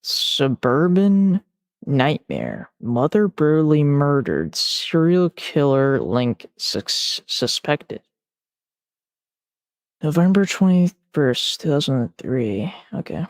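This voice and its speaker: A man's voice: a little high, big pitch swings, slow pace, dry recording. A man hosting a reaction video, giving casual, slangy commentary and muttered asides.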